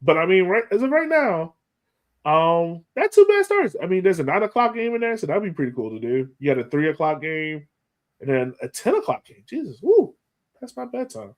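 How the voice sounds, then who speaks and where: A man hosting a sports podcast in casual chat, animated and often repeating phrases for emphasis. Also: sits high, a little fast. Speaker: podcast host.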